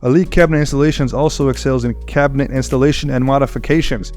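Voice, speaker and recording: A man with a mid-pitched voice chats as a podcast co-host. His talk is laid-back, agreeable and full of casual slang, with some music around the voice. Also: steady tone, fast talker, darkish tone.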